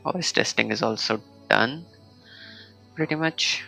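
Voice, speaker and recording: A man's voice: medium pitch, slow pace, gravelly, pushed and bright, faint music behind, poor audio. A man with an Indian accent chatting casually as he streams a game, slipping between English and Hindi. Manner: switches between English and Hindi mid-sentence. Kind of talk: casual livestream gaming chat.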